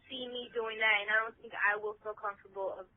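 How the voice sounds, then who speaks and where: A muffled telephone line carries a woman's somewhat high voice. She talks casually but hesitantly. Her manner is polite and apologetic, as if reluctant to disappoint. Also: steady tone, fast talker. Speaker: childcare provider taking a phone call. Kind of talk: casual phone conversation.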